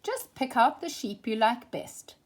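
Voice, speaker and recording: A woman's voice: on the high side, big pitch swings, a little slow, a little hiss or hum, voice pushed up. A woman voicing a polite, fussy male stork in a children's storybook, with a proper, emphatic way of announcing things. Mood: cheerful, announcing.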